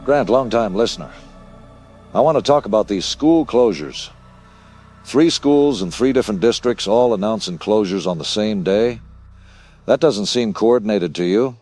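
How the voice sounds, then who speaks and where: A man's voice: fairly low pitch, expressive, moderate pace, a little mellow, faint music behind, close to an everyday voice. Suspicious male talk-radio caller played by a man. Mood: suspicious, insistent. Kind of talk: radio drama phone-in acting.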